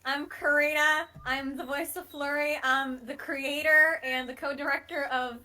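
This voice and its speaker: A woman's voice: high voice, fairly even intonation, unhurried, bright, forceful tone. A woman chatting casually on a group call, jokey and self-mocking. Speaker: audio drama creator and voice actor.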